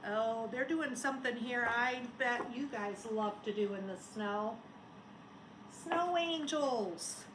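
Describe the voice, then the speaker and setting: A woman, a schoolteacher, talking and reading aloud to young children in simple, expressive phrases. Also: on the high side, slow pace. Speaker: elementary school teacher. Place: indoors at home. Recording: roomy home recording, faint noise.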